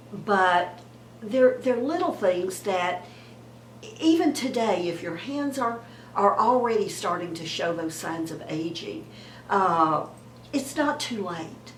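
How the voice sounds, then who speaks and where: A woman in her seventies, hoarse from a cold, giving chatty beauty advice to her viewers and often repeating herself. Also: fairly low pitch, echoey room, a little hiss or hum. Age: 70s. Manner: warm, chatty, repeats words and trails off. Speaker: beauty vlogger.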